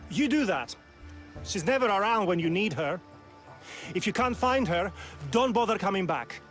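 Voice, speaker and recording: An actor playing a brusque, self-important village magistrate in a video game set in ancient Greece, haughty, scornful and threatening. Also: male voice, high voice, animated, moderate pace, a bit bright. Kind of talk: dramatic video game acting. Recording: game cutscene with music behind.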